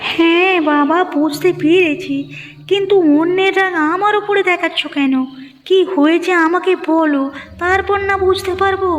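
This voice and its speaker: A woman's voice: extremely high pitch, moderate pace, long reverb tail. A woman voicing a concerned village wife in a cartoon, plaintive and full of questions. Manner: plaintive, inquisitive. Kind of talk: cartoon dialogue acting. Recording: muffled narrow-band audio with music.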